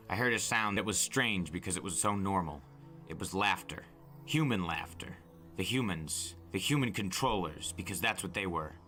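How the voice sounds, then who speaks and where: A man narrating a story dramatically, like an audiobook, intense and deliberate in his phrasing. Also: deep voice, sharp tone.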